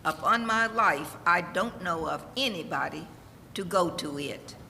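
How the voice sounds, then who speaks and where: An actor playing a callous, gossiping merchant with a drawling, theatrical delivery in a 1940s radio drama. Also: male voice, high-pitched, animated, unhurried, creaky, sharp tone, exaggerated voice. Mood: callous, indifferent. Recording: echoey hall, faint background noise.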